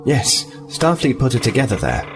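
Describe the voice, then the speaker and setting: Diplomatic lieutenant male actor, animated intonation, composed and explanatory, music behind, sci-fi audio drama. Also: mid-range voice, moderate pace, lightly acted. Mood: grave, earnest. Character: earnest, diplomatic male starfleet lieutenant, liaison officer.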